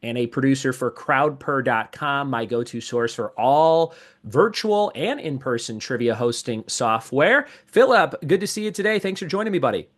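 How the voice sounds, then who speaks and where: A man's voice: medium pitch, lively intonation, moderate pace. A man hosting a podcast, opening upbeat and teasing his guest in a playful, casual interview chat. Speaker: podcast host.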